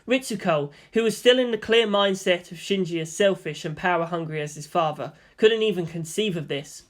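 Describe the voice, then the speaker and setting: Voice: medium pitch, moderate pace. A storyteller narrates scripted fan fiction in audiobook form. The voice sits in a middle register between the dialogue.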